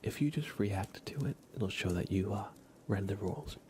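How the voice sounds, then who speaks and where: A man's voice: lowish voice, animated, moderate pace, a little mellow, slight background noise. A man hosting an ASMR livestream in a whispered, casual and hesitant manner, chatting and commentating. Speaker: live streamer, host.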